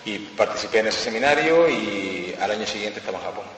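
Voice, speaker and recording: A man, a martial arts instructor, answering interview questions in measured, reflective sentences for an interpreter. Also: fairly low pitch, expressive, fairly bright tone, distant mic. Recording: echoey hall, off-mic, faint noise.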